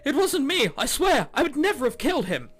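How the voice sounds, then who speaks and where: Man doing high-pitched, flustered schoolgirl. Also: big pitch swings, a little fast, fairly bright tone, heavily acted. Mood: defensive. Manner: pleading, protesting. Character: accused schoolgirl, defensive and flustered. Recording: music playing behind.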